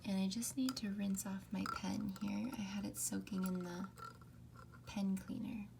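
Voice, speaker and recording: A young woman hosting a calm ASMR painting tutorial, speaking softly and often slipping into whispers. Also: mid-range voice, creaky, noisy background. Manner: soft, often whispered, unhurried. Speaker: art tutorial host. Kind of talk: soft-spoken ASMR drawing tutorial.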